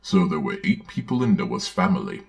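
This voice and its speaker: A man's voice: low-pitched, very animated, moderate pace, creaky. A man giving a dramatic storybook reading with wry, sardonic commentary. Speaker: host, storyteller.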